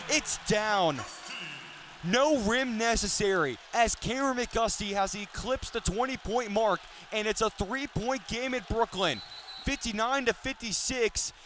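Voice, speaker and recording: A man's voice: sits high, fairly bright tone. A man in his twenties calling live basketball on the radio in a detailed, polished broadcaster's delivery. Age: 20s. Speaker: sports play-by-play announcer. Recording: live sports broadcast with arena noise. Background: basketball arena ambience.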